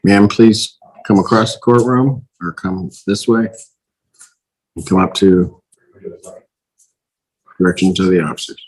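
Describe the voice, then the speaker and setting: A man's voice: deep voice, fairly even intonation, measured pace, long pauses, darkish tone, breathy edge, rough recording. A man speaking as a judge in a courtroom, giving formal rulings in a firm, authoritative manner. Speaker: judge presiding over a hearing.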